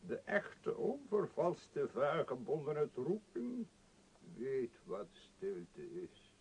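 An actor playing a worldly, courteous vagabond who muses lyrically in a radio play, with a gravelly, husky voice. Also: male voice, mid-range voice, wildly swooping pitch, darkish tone, heavily acted. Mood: musing, lyrical. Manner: ruminative, lyrical, unhurried. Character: worldly, musing vagabond man, philosophical and courteous. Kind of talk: Dutch radio play acting.

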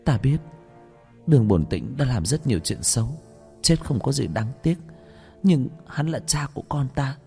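A man plays an anxious young mother from a fantasy audiobook. The voice is pushed higher and pleads earnestly, with faint music underneath. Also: lively intonation, normal speed, warm tone, slightly rough. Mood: desperate pleading.

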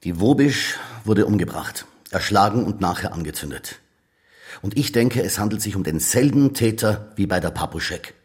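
An actor playing a gruff, middle-aged, world-weary police detective, muttering dry sarcasm in a radio crime drama. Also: male voice, fairly low pitch, lively intonation, normal speed. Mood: dry, insistent. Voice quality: raspy, gravelly.

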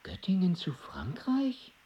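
Indifferent husband, soft dark timbre, lively intonation, unworldly, radio play. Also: male voice, sits high, slow, lo-fi sound, full character voice.

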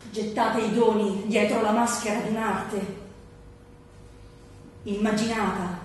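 An actress playing an introspective woman, reciting a surreal poetic stage monologue in a solemn, measured way with long pauses. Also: little pitch movement, natural voice. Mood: solemn, dreamy. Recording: echoey stage, distant mic, rumbly noise.

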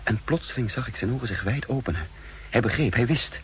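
An actor playing a weary counterspy who gravely recounts his own wounded mission in a 1960s radio drama. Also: medium pitch, expressive, a little fast, lightly acted, low-quality recording. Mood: tense. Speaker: narrator and lead actor. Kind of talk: 1960s Dutch radio drama acting and narration. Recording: hissy, humming 1960s radio recording.

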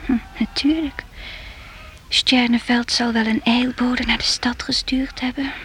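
A woman playing a spirited young queen in a radio play, imperious yet affectionate, with a breathy voice. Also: on the high side, little pitch movement, measured pace, a bit bright, a little hiss or hum. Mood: intimate, confiding.

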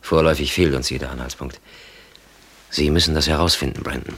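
Very deep male voice, gravelly, stern police captain, authoritative, clipped, 1960s radio drama. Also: steady tone, fairly quick, fairly bright tone, exaggerated voice. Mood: grave, urgent.

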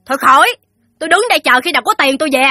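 A woman's voice: high-pitched, rapid-fire, bright, forceful tone, dry recording, soft background music. A woman playing a middle-aged, sour, bullying loan shark in an audio story, sneering and scolding with a Southern Vietnamese accent. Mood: shrewish, indignant. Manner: sneering, shrewish, haughty scolding. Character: fat, shrill, sour loan-shark madam, crude and bullying. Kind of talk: audio story drama dialogue.

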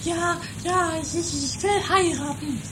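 Naive, romantic young daughter, played by a woman, extremely high and girlish, stubborn whine, improvised radio comedy over noisy tape. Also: unhurried. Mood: timid. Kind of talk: improvised 1980s trash comedy radio play. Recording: noisy lo-fi 1980s amateur radio recording.

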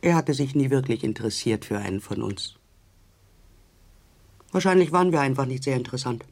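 Casting: man as reflective witness in a radio play, medium pitch, halting recollections full of pauses. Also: darkish tone, lightly acted. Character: pensive witness recalling a restless, distant man. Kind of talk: radio drama monologue.

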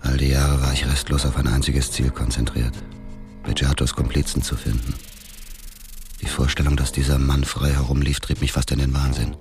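An actor playing a grim, obsessed middle-aged widower with a gravelly voice, brooding and terse in a radio drama. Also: male voice, rumbling low, flat delivery, moderate pace, over music. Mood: bitter, brooding. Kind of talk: radio drama narration and dialogue.

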